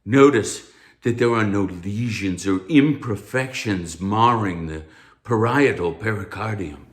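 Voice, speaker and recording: An actor playing a stern, pompous anatomy professor, speaking formally and like a lecturer in a theatrical read. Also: male voice, lowish voice, measured pace, roomy sound, natural voice. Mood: grim, haughty. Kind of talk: theatrical table read of a stage musical.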